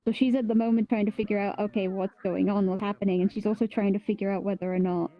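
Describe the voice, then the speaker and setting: A woman's voice: mid-range voice, steady tone, fast. A woman rambling casually and chattily through explanations in a VR roleplay game's voice chat. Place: voice chat in an online virtual world. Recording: slightly dull lo-fi voice chat.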